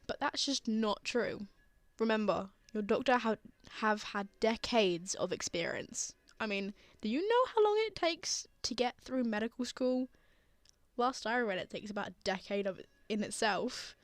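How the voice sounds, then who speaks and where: Woman playing a tender reassuring father, fairly high voice, earnest, soothing, ASMR comfort roleplay, dry close recording. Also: no echo. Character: gentle, reassuring, teasing father comforting their frightened child.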